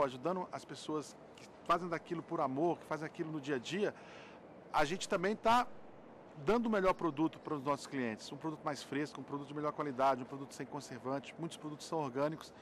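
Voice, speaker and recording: A man's voice: on the high side, a bit bright, slight background noise. A man in his thirties, a restaurant owner, talking in an outdoor interview. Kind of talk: interview answers. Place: outdoors near a parking lot.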